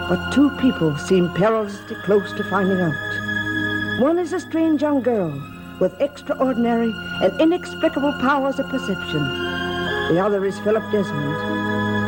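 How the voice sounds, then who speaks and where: A high-voiced male narrator for a vintage gothic soap. He is solemn and portentous, weighing each phrase over clear background music. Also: poor audio. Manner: portentous, deliberate. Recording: old TV soundtrack with music underneath.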